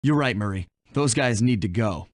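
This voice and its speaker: A cool young raccoon thief voiced by a man for a video game. He has a medium-pitched voice and speaks with easygoing, wry confidence. The recording is very dry. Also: lively intonation, moderate pace, dry recording, close to an everyday voice. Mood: wry, confident. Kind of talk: video game character voice acting.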